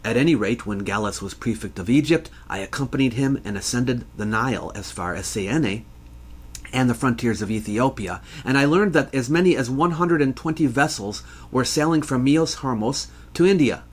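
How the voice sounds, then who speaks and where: A man with a mid-pitched voice delivers scripted educational narration. His delivery is measured and scholarly, like a host teaching history to viewers. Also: husky.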